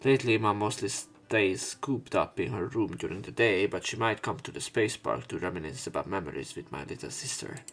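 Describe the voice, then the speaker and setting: A man's voice: low voice, brisk, hardly any pauses, fairly bright tone. A man narrating a visual novel as a let's play, reading the story text aloud.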